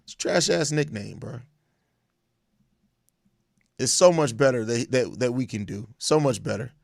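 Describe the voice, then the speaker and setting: An American man with a hoarse, raspy voice worn out from overuse, chatting casually and emphatically in slang as a solo sports podcast host. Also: mid-range voice, expressive, a little slow, no echo, studio quality. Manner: slangy, emphatic, repeats phrases. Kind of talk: casual live-stream sports chat answering viewer comments.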